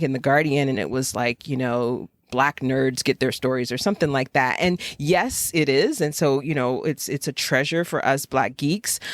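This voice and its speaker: A woman with an American accent talking casually and emphatically as a podcast co-host, with animated delivery. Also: low voice, no echo, studio quality. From United States, Jacksonville, Florida.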